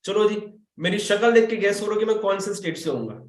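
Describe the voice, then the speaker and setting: A man's voice: high-pitched, expressive, fairly quick. A young man from Chhattisgarh hosting an online webinar, talking in a chatty, playful way and speaking straight to his viewers over a video call. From Chhattisgarh, India. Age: young adult. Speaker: webinar host. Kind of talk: casual online webinar talk. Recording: echoey room sound.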